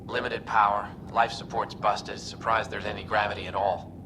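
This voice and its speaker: Actor as intense alien soldier, noisy ambience. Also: male voice, fairly low pitch, little pitch movement, moderate pace, natural voice. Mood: grim. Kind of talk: video game cutscene acting.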